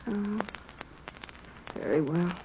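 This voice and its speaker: An actress in a 1950s radio drama playing an anxious, devoted young woman with a breathy, pleading voice. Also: lowish voice, slow pace, background noise. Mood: worried. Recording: lo-fi old radio recording.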